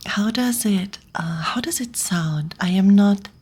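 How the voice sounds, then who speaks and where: A woman streaming ASMR, whispering and speaking very softly as she chats casually with her viewers. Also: medium pitch, measured pace. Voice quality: breathy, whispered. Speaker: streamer hosting a live ASMR reading. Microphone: close microphone, slightly off to the side.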